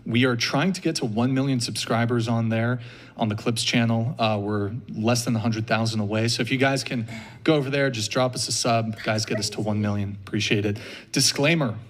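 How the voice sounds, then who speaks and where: A man hosting a podcast, running through show announcements briskly with deadpan jokes. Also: medium pitch. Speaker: podcast host. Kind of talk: rapid-fire show announcements.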